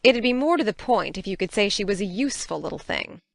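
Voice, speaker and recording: A woman playing a stern, practical middle-aged spinster farm woman in an audiobook drama, crisp and scolding in manner. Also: mid-range voice, lively intonation, fast talker, dry recording. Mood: grudging, firm.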